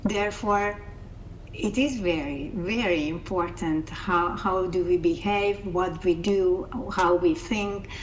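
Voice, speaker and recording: Middle-aged Hungarian woman, slightly low voice, unhurried, deliberate interview guest. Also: dull audio, slight background noise. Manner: measured, thoughtful, repeats words while searching for phrasing. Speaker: interview guest, coaching founder. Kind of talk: reflective interview answers.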